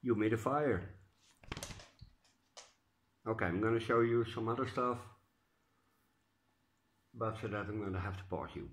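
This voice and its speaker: A man's voice: lowish voice, unhurried, long pauses. A man with a Dutch accent giving a casual home update, a bit stuffy and congested, talking hesitantly with lots of uh's. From Netherlands. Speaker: orchid grower vlogger. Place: indoors at home.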